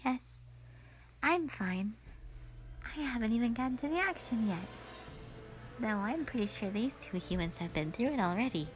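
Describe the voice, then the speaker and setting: A woman playing a young witch, the earnest and plucky little sister, in a dramatic audio roleplay, with a soft, hesitant manner. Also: on the high side, expressive, normal speed, long pauses, close to an everyday voice. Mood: reassuring.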